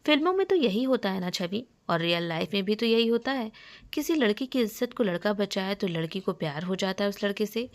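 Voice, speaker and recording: A woman's voice: medium pitch, lively intonation, fairly quick, natural voice. A woman voicing a chatty young best friend who scolds playfully and pries in a romance story. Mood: teasing, curious.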